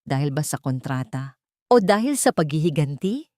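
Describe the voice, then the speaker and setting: A woman's voice: low-pitched, very animated, normal speed, dark tone, dry recording, studio quality. A woman voicing a sick young wife in an audio drama, pleading and questioning with weak, pained delivery.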